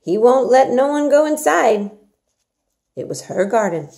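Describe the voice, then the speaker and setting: Storybook housemaid, voiced by a woman reader: young, hearty, blunt, high and animated. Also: a little slow, vocal fry. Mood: confiding. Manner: blunt, emphatic, exclaiming. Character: hearty, outspoken young Yorkshire housemaid with motherly scolding. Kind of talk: storybook dialogue read aloud.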